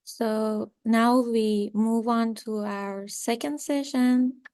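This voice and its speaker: A woman's voice: on the high side, fairly even intonation, slow pace, warm tone, no room sound. Young woman moderating a video seminar. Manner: hesitant, many fillers. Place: home room. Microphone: video call.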